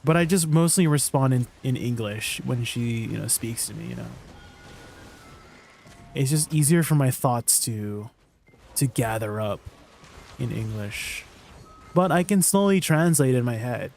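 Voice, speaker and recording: A man with a Canadian accent chatting casually with viewers while streaming a game. He rambles in a relaxed way and trails off at the ends of his lines. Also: mid-range voice, measured pace, gravelly, pauses often, soft background music.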